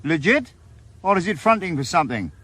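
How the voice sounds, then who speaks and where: A man's voice: on the high side, very animated, brisk, fairly bright tone, slightly rough, no echo, full character voice. An actor playing a wry, stubborn retired male detective in a radio drama. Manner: dry, wisecracking. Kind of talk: 1980s radio drama acting.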